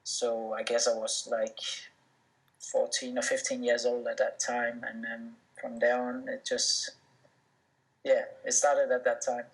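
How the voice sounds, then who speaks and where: A man's voice: mid-range voice, monotone, a little slow, slightly rough, poor audio. A young man, a native Danish speaker, answering interview questions casually, with many fillers and restarts. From Denmark. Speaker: professional footballer, interview guest.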